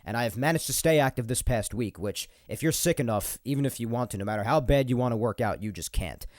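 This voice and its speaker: A man's voice: mid-range voice, animated, fast, darkish tone, no room sound, clean studio sound. A young man from New York hosting his solo podcast. He sounds a little nasal and congested from a cold, rambles, repeats himself and laughs between points. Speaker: podcast host. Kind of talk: casual solo podcast monologue. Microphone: podcast microphone.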